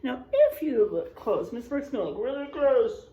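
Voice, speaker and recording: A woman's voice: a little high, animated, normal speed, warm tone. A woman teacher reading a picture book aloud to children, expressively, stretching out rhymes and adding chatty, exclaiming asides.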